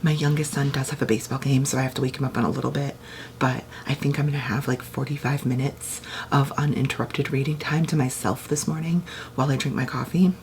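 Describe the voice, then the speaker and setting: A woman in her thirties chatting casually at home about the books she reads, her voice worn and raspy from a long day, full of "like" fillers. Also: a bass voice, fairly even intonation, fast, slight background noise. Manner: hushed whisper early in the morning. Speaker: book vlogger. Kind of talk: casual read-along vlog chat.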